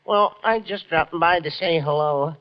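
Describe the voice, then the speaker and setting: A man playing a mournful, self-satisfied mailman in a slow, pompous 1940s radio comedy style. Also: a little high, lightly acted. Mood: mournfully self-satisfied. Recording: muffled, very noisy 1940s radio recording.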